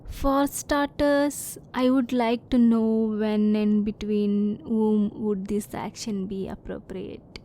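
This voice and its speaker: A woman voicing a curious, reserved female alien in an ASMR roleplay, speaking softly in formal, stilted phrases, at times close to a whisper. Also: mid-range voice, fairly even intonation, takes it slowly, hardly any pauses, natural voice. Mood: curious, composed. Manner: soft-spoken, sometimes near whisper.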